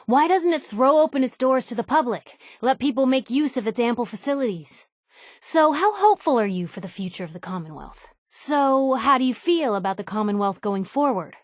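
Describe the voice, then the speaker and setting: A woman's voice: medium pitch, dry recording, studio quality, close to an everyday voice. An actress playing a nosy, wisecracking young woman newspaper reporter as a video game companion, wry and sarcastic in manner.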